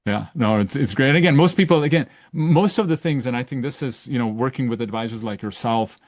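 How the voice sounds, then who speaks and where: A man's voice: mid-range voice, fairly quick, no room sound, studio quality. A middle-aged man from Czechoslovakia, hosting a podcast interview in a casual, searching way. He often restarts his sentences and checks in with "right?". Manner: searching, self-correcting, with frequent "right?" tags. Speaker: podcast host.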